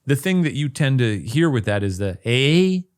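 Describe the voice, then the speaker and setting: A man co-hosting a comedy podcast, musing and joking in casual banter, quick to laugh. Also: mid-range voice, very animated, clear, resonant tone. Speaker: co-host.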